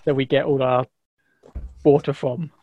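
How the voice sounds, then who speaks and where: A man's voice: medium pitch, flat delivery, measured pace, highs cut off. A man chatting casually as a player in a tabletop game. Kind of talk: casual chat.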